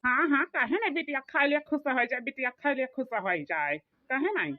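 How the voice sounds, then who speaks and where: A woman's voice: high voice, lively intonation, brisk, dry recording, pitched below the performer's own voice. A woman voicing a harsh, scolding older village mother in an Awadhi cartoon, with an Awadhi accent, barking orders. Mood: scornful. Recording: tinny, phone-like dry sound.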